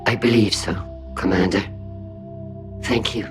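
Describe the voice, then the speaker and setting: A woman's voice: extremely low pitch, fairly even intonation, unhurried, music in the background. A woman playing a shaken, courteous alien fleet admiral in a video game. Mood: shaken, subdued.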